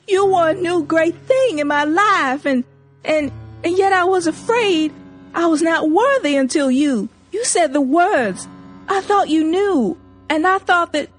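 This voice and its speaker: A woman's voice: extremely high pitch, pitched above the performer's own voice. A woman reading as a trembling, stammering young girl. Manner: halting, stammering, pleading. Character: trembling young Southern girl pleading with her love.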